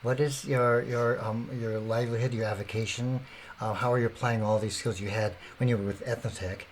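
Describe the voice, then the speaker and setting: A man hosting an interview, asking gentle, unhurried questions with frequent fillers. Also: mid-range voice, steady tone, a little hiss or hum. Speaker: interviewer, host.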